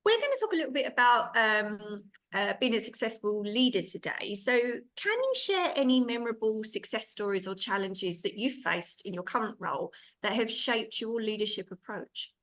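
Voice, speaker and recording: A woman's voice: a little high, lively intonation, moderate pace, hardly any pauses, fairly bright tone. A woman hosting a podcast, interviewing her guest in a conversational style. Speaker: podcast host.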